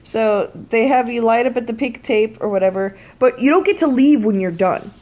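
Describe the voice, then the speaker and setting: A woman's voice: on the high side, normal speed, a little mellow. A woman telling a personal story in a casual vlog style, blunt and freely swearing. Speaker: vlogger.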